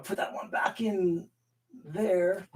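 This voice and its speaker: A man's voice: high-pitched, fairly even intonation, slow, far from the mic, very noisy. Rambling chatty man, a bit off-mic. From Canada. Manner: rambling, chatty, laughs often, reads chat aloud. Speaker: livestream host and guitar collector. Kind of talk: casual live-stream chat.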